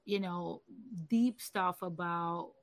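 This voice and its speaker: A woman's voice: on the low side, slow, dry recording. A woman from Puerto Rico hosting a casual livestream chat, talking in a chatty way with many fillers. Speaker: livestream host.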